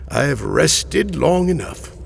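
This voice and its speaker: A man playing a gravelly ancient sage. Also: a little high, expressive, slight background noise, exaggerated voice. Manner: slow, deliberate and dry. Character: ancient male sage, weary and stubborn. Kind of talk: audio drama acting.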